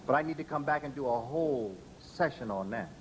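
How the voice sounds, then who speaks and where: A man giving a lecture to an audience, speaking deliberately with pauses and stressed words. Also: mid-range voice, expressive, slight background noise. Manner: spells words out letter by letter.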